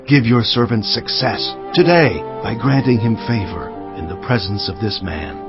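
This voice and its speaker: A man's voice: fairly low pitch, moderate pace, close to an everyday voice. A man playing a devout, earnest cupbearer who narrates his memoir in dramatized scripture, solemn and emphatic. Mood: fervent prayer. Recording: slightly dull audio with music behind. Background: music.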